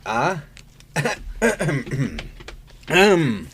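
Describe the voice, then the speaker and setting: Boastful bumbling driver, very lively pitch swings, stammering, Ilocano accent, radio comedy drama, faint background noise. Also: male voice, drawn-out words, exaggerated voice. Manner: pompous, stammering. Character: boastful, bumbling male driver posing as boss.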